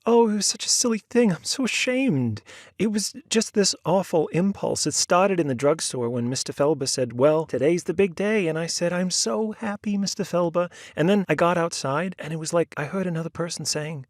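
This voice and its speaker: A man putting on a flustered woman of thirty, high and breathless, gushing apologies. Her voice skips quickly and sounds ashamed, recorded dry and close. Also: animated, dry recording, natural voice.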